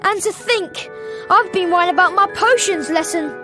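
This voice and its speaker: A woman's voice: extremely high pitch, moderate pace. A woman voicing a timid, worried young schoolchild in a wizarding video game. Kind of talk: video game cutscene acting. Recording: game music behind the voice.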